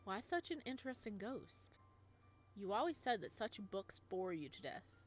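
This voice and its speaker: A woman's voice: mid-range voice, very animated, moderate pace, soft background music, close to an everyday voice. A woman voicing a teasing, playful young librarian who is an old friend of the hero, read from a visual novel. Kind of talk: reading a visual novel aloud.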